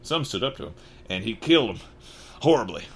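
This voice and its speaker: A man voicing a big, gruff, kindly but anxious giant in a Southern US accent, with halting, folksy speech. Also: fairly low pitch, sing-song, fairly bright tone, full character voice. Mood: solemn. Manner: halting, folksy, dropped consonants.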